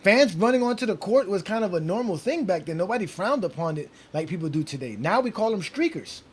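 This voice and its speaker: Animated man in his forties hosting. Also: high-pitched, brisk. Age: 40s. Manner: animated, repeats himself when excited. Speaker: show host. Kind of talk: casual sports debate talk.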